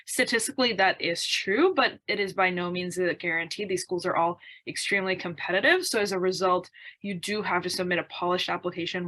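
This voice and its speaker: A woman's voice: lowish voice, sharp tone. A young woman from Bangladesh, an admissions coach, explaining her views articulately as a podcast guest. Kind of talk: podcast interview.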